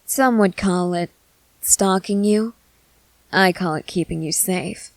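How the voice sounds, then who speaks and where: A woman voicing a protective, controlling century-old female vampire in measured, formal phrases for an ASMR roleplay. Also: fairly low pitch, no echo. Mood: coolly coaxing. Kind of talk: scripted ASMR audio roleplay.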